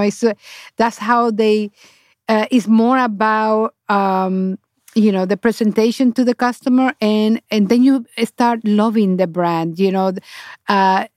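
A middle-aged woman from Honduras with a Honduran accent and native Spanish, speaking haltingly as an interview guest telling her own story. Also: mid-range voice, a little mellow, no room sound, clean studio sound. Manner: halting, searching for words. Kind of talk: conversational personal storytelling.

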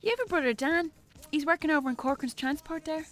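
Woman playing irish shop girl in a radio play, talks really fast, higher voice, chatty and nosy. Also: a bit bright, faint music behind. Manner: chatty, inquisitive. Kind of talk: radio drama acting.

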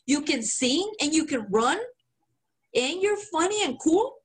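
A woman's voice: high-pitched, unhurried. A woman co-hosting a podcast in casual chat, emphatic and heavy on swearing. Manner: swears a lot, animated and emphatic. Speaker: podcast co-host.

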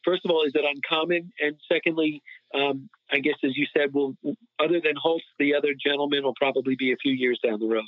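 A man's voice: a little high, steady tone, a little fast, no echo. A man co-hosting a casual sports chat, asking questions in a hesitant, roundabout way. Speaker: podcast co-host. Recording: tinny phone-like dry line.

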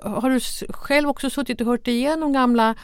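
A woman's voice: high-pitched, steady tone, smooth, dry recording, studio quality. A woman hosting a podcast, asking questions in a conversational interview style. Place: close, dry podcast recording.

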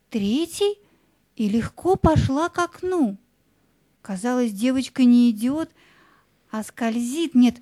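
A woman narrating a scripted fairy tale as an expressive storyteller. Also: high-pitched, dry recording.

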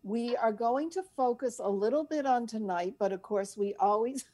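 A woman's voice: a little high, moderate pace, background noise. An older woman co-hosting a radio show, chatting and telling stories casually in a rambling, easily sidetracked way. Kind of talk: casual chat and storytelling.

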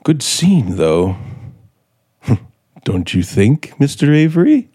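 A man's voice: mid-range voice, big pitch swings, dark tone, slightly rough, full character voice. An actor playing an embittered scriptwriter in a 1950s radio thriller, theatrical and mockingly polite as he coolly plots murder. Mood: coolly menacing. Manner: theatrical, deliberate, mockingly polite, narrating his own actions. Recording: vintage 1950s radio broadcast with music.

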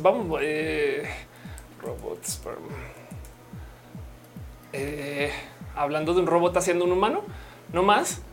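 A young woman from Colombia who speaks, hosting a casual livestream, chatty and playful as she reads comments and laughs often. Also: fairly low pitch. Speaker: livestream host. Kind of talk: casual live-stream chat reading comments.

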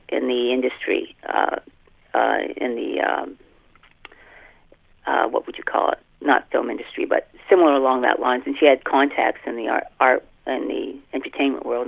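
A middle-aged woman talking casually in a podcast interview as an author, halting and often repeating and rephrasing her phrases. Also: extremely low pitch, vocal fry. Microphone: telephone. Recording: muffled, tinny telephone line.

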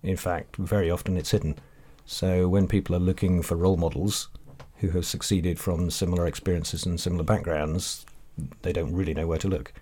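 A man speaking on a panel discussion, measured and reflective. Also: deep voice, little pitch movement, dark tone.

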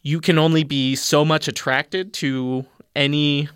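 A man's voice: on the high side, measured pace, clear, resonant tone, no room sound, clean studio sound. A young man with a slight lisp, talking earnestly and expressively in a casual panel discussion. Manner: expressive, animated, explaining earnestly. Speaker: panel guest.

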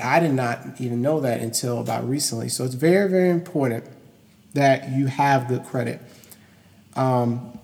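Young man, lower voice, very echoey room. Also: mellow. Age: young adult. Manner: emphatic and repetitive, stressing key points. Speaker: podcast host. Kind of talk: informal educational solo podcast talk. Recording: long hollow room reverb.

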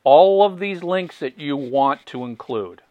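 A man's voice: a little high, animated, measured pace, dry recording, phone-quality sound. A man hosting a podcast interview, talking eagerly and often repeating his first words in casual chat. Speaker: podcast host.

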